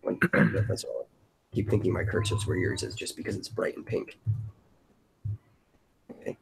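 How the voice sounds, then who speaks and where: A man's voice: low voice, expressive, moderate pace, creaky, slight background noise, low-quality recording. A man chatting casually as host of a brainstorming stream. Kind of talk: casual chat.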